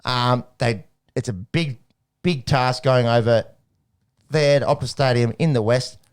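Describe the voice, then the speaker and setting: A man's voice: medium pitch, unhurried, clean tone. Rambling man talking footy. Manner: rambling, restarts, jokey, laughs often. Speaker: podcast co-host. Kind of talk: casual sports chat.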